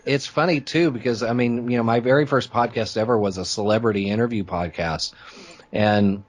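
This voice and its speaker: A man's voice: medium pitch, fairly even intonation, brisk. A man who hosts podcasts, chatting informally and telling anecdotes in a casual interview. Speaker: podcast host being interviewed.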